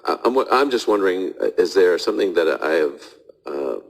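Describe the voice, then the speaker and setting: A man's voice: low-pitched, animated, a little fast. A man hosting a live Bible question show, answering thoughtfully with frequent restarts and hedges. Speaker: radio host answering audience questions.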